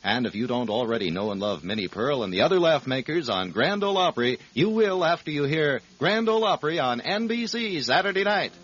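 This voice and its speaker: A man's voice: fairly high pitch, lively intonation, fairly quick, few pauses, fairly bright tone, breathy edge. A man reading a scripted 1940s radio advertisement as a commercial announcer. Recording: dull old radio recording.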